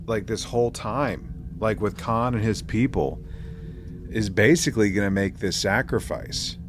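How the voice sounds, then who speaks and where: Slow, lowish-voiced man over clear noise. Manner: halting, piecing thoughts together with many pauses. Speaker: podcast co-host. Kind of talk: casual podcast chat recapping a drama.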